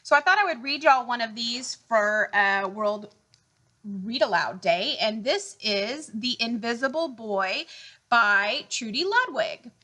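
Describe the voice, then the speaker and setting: A woman reads a picture book aloud for children. Her voice sits fairly high and is notably bright and forward. She tells the story expressively, with deliberate phrasing. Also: expressive.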